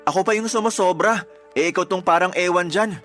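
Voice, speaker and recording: High-voiced defensive boyfriend, radio drama. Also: male voice, brisk, a bit bright, faint music behind. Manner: defensive, pleading. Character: defensive young man, boyfriend.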